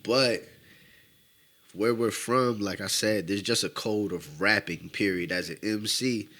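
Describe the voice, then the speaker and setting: A man's voice: on the low side, a little slow, bright, forceful tone. A man with a New York accent tinged by the Midwest, a rapper talking casually as a podcast guest, loose and rambling with lots of "you know what I'm saying". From upstate New York.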